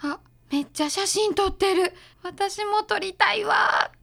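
Radio drama assistant, young woman played by an actress, high voice, Kansai accent, casual and easily delighted. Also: unhurried, creaky, fairly bright tone, heavily acted. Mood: delighted, envious. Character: easygoing, sweets-loving young woman, detective's assistant.

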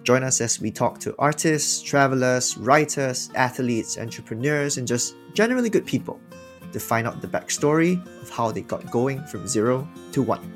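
A man's voice: mid-range voice, animated, normal speed, faint music behind. A man hosting a podcast, reading an upbeat intro and then asking friendly questions. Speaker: podcast host.

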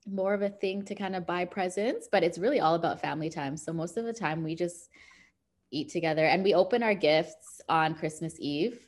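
Relaxed, rambling woman in her thirties. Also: on the low side, brisk. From Zambia. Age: 30s. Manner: relaxed, rambling, many "like" fillers. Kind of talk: casual chat.

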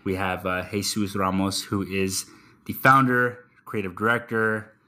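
A man's voice: low-pitched, level tone, measured pace, bright, forceful tone. A young man hosting a podcast in casual interview chat, laid-back, swearing freely and padding with many ums. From Texas. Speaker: podcast host.

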